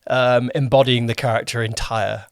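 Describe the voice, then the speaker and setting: A man's voice: medium pitch, fairly even intonation, normal speed, dry recording, clean studio sound. A man hosting a podcast, chatting casually as an interviewer and often rephrasing as he goes. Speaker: podcast host and producer.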